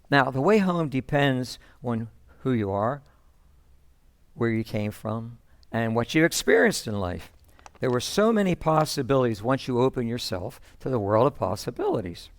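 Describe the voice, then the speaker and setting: A man playing a reflective, sentimental storyteller, telling a first-person monologue in measured phrases. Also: mid-range voice, dry recording. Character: reflective, sentimental male storyteller recalling his upbringing.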